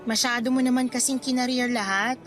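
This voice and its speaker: A woman playing a modest, contented schoolgirl best friend in a radio drama, speaking in a gently protesting, conversational way. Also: on the high side, steady tone, fairly quick, faint music behind, lightly acted. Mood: concerned.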